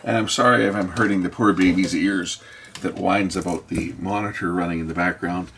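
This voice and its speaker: A man with a low voice works through a repair in his workshop, thinking out loud in a casual way. He often mutters to himself as he goes. A faint noise runs behind his voice. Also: a little fast, vocal fry. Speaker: electronics repairer hosting a repair video. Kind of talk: casual hands-on repair commentary, thinking aloud. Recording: faint steady background noise.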